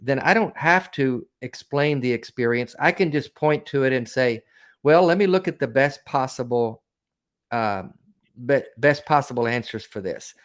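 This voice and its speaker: A man with a Southern American accent and a mid-range voice co-hosts a call-in show. He explains his thinking conversationally, in a measured way, circling back over phrases as he builds a point. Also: mid-range voice, animated. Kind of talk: casual discussion, explaining.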